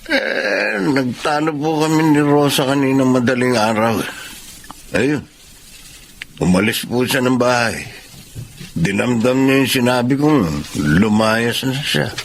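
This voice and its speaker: A man's voice: mid-range voice, measured pace, creaky, a little hiss or hum. A Filipino man playing a remorseful, hard-drinking husband, pleading and hesitant in radio drama style. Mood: sheepish, remorseful. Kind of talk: emotional radio drama acting.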